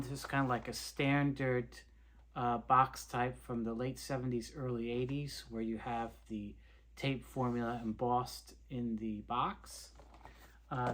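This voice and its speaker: A man presenting an informal, unscripted product showcase, explaining things in a halting, hesitant way with repeated words. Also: medium pitch, fairly bright tone. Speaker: presenter of reel tape review videos.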